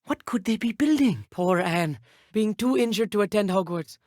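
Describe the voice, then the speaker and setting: An actor playing an earnest, bookish teenage schoolboy wizard in a video game, polite and a little nervous, with precise, slightly formal speech. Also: male voice, high-pitched, expressive, normal speed, darkish tone, no echo, clean studio sound. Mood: earnest, friendly. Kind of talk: scripted video game dialogue.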